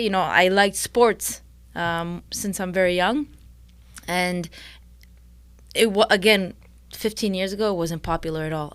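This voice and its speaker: A young woman from Israel, a fighter guest answering interview questions thoughtfully, pausing often. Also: medium pitch, clear, resonant tone, dry recording. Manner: thoughtful, with frequent pauses and fillers.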